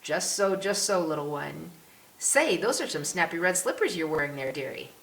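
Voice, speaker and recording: A woman's voice: lowish voice, expressive, moderate pace, a bit bright. A woman voicing a genial English policeman in an audiobook, kindly, folksy and teasing with children. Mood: warm teasing. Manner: jovial, avuncular, folksy. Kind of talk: audiobook character voice. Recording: roomy echo with clear hiss.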